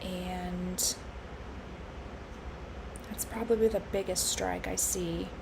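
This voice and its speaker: A woman live-streaming her futures trading, congested and hoarse from allergies, thinking aloud with lots of fillers and restarts. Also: lowish voice, fairly even intonation, slow, noisy background. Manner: musing, with frequent fillers and restarts.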